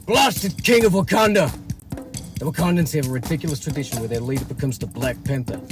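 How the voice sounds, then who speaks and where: A man's voice: fairly high pitch, a little fast, over music, lightly acted. An actor playing an exasperated, scheming villainous businessman in an audio drama. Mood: exasperated, scornful. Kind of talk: cinematic audio drama acting.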